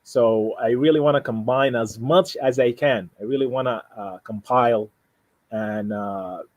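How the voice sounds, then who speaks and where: A man from Sudan, a native Arabic speaker with a Sudanese Arabic accent, talking casually and haltingly as he explains himself, often repeating and rephrasing. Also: mid-range voice, no echo. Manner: halting, repeats and rephrases, frequent "you know". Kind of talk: casual conversation, explaining personal plans.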